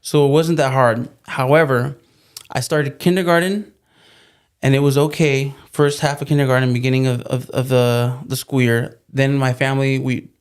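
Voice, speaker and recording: A man's voice: mid-range voice, fairly even intonation, normal speed, clean tone. A man from California whose first language is Spanish talks as a guest in a casual chat. His voice sits in a middle range, and his manner is easygoing and joking. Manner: easygoing storyteller, joking and laughing. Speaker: plumbing business co-founder, guest.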